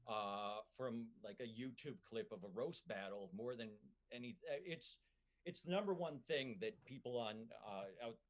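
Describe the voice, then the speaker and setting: A comedian with a Minnesotan accent, chatting on a podcast in a halting way full of fillers and restarts. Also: male voice, mid-range voice. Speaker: comedian guest. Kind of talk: casual podcast chat.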